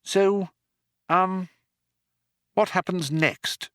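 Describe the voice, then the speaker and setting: A man's voice: high-pitched, steady tone, extremely slow, sharp tone, no room sound, studio quality, voice pushed up, full character voice. A man voicing an exasperated, bewildered human man in an audiobook story, plaintive, indignant and sarcastic.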